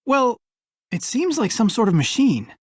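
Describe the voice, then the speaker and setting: A woman's voice: fairly high pitch, sing-song, unhurried, a little mellow, breathy edge, no room sound, studio quality, full character voice. A woman playing a skeptical, no-nonsense investigator in a video game. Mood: suspicious, businesslike. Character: skeptical, practical female investigator, no-nonsense and wry.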